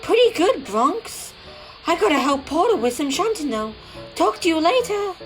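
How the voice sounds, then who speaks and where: A woman's voice: extremely high pitch, big pitch swings, moderate pace, poor audio. A woman playing a friendly, innocent young female train engine in an audio drama. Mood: cheerful. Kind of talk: audio drama character acting. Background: music, night insects.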